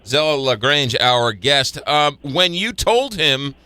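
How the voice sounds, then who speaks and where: A man's voice: mid-range voice, big pitch swings, slow, pushed and bright, clear, resonant tone, dry recording. A man hosting a radio interview in a studio, stumbling and restarting his questions. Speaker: radio host.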